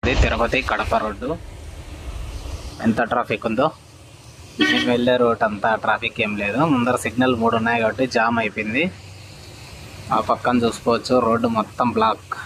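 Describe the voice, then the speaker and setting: A man's voice: medium pitch, level tone, a little fast, long pauses. A man narrating a casual bus travel vlog, chatting to his viewers as friends. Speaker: travel vlogger. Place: on a bus and at bus stands, outdoors. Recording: phone audio with loud road noise.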